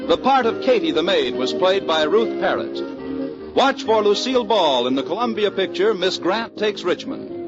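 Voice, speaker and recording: A man's voice: high voice, sing-song, normal speed. A man announcing in a scripted 1940s radio style, polished and emphatic. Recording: muffled old radio broadcast recording. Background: music.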